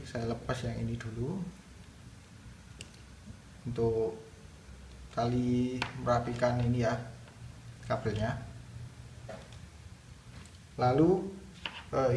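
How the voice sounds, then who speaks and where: A man's voice: mid-range voice, steady tone, slow, long pauses, darkish tone. Male tutorial host, casual step-by-step delivery, distant echoey room sound. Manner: explains step by step. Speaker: video host demonstrating product installation. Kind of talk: casual hands-on tutorial narration. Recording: roomy, distant mic, clear background noise.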